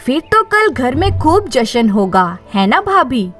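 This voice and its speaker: A chatty, deferential housemaid, played by a woman storyteller asks curious questions in a high, very lively voice. The pace is slow, suited to a moral story. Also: soft background music, exaggerated voice. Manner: chatty, inquisitive.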